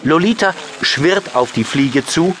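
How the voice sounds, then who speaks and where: A man's voice: a little high, animated, measured pace, a bit bright, a little hiss or hum. A man reading scripted narration for a children's audiobook.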